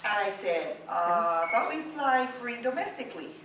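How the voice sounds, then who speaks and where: A very reverberant, noisy room recording carries the voice of a middle-aged woman with a Hawaiian local accent. She tells personal stories in an informal, unapologetic way, pitched a bit high, as a speaker addressing listeners. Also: animated, normal speed. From Hawaii, United States. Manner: unapologetic, conversational, peppered with "right?" and "okay?". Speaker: speaker giving a talk. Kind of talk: informal personal storytelling to an audience. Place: university classroom. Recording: very echoey room, distant mic, clear noise.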